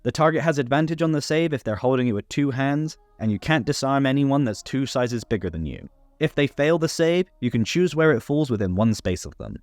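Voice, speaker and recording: A man's voice: medium pitch, dry recording. A man narrating a scripted tabletop game rules guide, instructional with playful asides. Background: background music.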